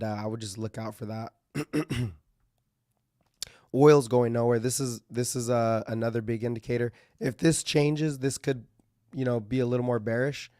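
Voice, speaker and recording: A man with a medium-pitched voice that is going slightly hoarse, talking through the markets in a loose, thinking-aloud way. He restarts sentences and clears his throat now and then. He is recorded close in a dry room with no echo. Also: mellow. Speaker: market commentary host. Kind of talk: casual live market commentary.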